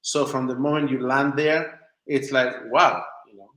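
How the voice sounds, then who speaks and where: A man's voice: mid-range voice, flat delivery, moderate pace, sharp tone, a little hiss or hum. Echoey man, searching for words. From Chile and southern Spain. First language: Spanish. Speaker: interview guest, documentary maker. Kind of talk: informal conversational interview answers. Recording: roomy, echoing sound.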